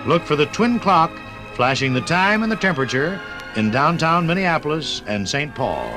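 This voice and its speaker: A male 1950s radio announcer with a fairly high voice and very lively intonation. His delivery is polished and declamatory, over clearly audible music. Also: moderate pace. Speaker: narrator and announcer.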